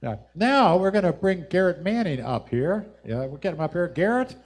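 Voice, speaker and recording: A man's voice: high voice, big pitch swings, moderate pace, background noise. A man hosting a live music show, chatting in an easygoing way with his guests and laughing often. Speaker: host.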